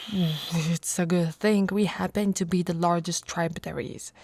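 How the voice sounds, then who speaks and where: A woman's voice: lowish voice, dry recording. A woman voicing a tough, teasing and affectionate orc warrior wife in a playful ASMR roleplay. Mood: weary, amused. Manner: playful banter, soft laughs and murmurs.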